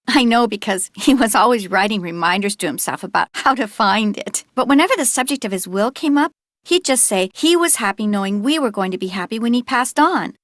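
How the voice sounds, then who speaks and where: A worried sad teen girl from an adventure game, kinda high voice, earnest and hesitant, dry recording. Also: expressive, fairly quick, a bit bright, dry recording. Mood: guarded, downcast. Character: anxious, grieving teenage girl, heir to a small inn. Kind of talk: scripted adventure game dialogue.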